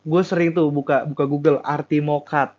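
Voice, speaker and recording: A young man talks in a relaxed group chat, his voice a touch higher than most men's. He stumbles and repeats himself as he tells his story. The sound is dull and lo-fi. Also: moderate pace. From Sumatra. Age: young adult. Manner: animated, hesitant storytelling with repeated words. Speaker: student. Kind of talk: casual chat.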